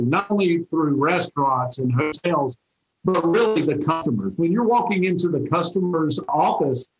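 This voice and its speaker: Middle-aged male interviewee, folksy and easygoing, slightly high voice, roomy video call sound. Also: muffled recording. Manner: easygoing, folksy, frequent restarts. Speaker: territory manager, interview guest. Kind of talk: conversational interview answers. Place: home office. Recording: echoey room on a video call.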